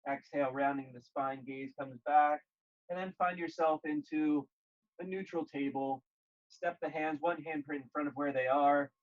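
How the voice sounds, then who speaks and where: A man leading a guided yoga class, speaking gently and calmly in short, measured phrases with pauses. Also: a little high, highs cut off. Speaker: yoga instructor.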